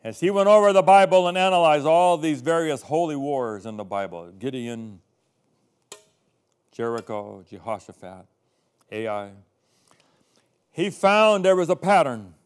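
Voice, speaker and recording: Older pastor preaching with swelling emphasis. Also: male voice, a little high, expressive, measured pace, pauses often, clean tone. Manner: swings from soft asides to loud, emphatic scripture reading. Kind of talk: sermon. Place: studio set with a podium.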